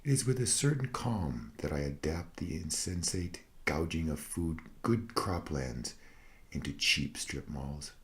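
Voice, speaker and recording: A man with an extremely low voice recites a scripted monologue. He is solemn and deliberate, with a wry, mock-serene delivery, as if reading out a meditation. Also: expressive, fairly bright tone. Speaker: reader of own satirical prose piece.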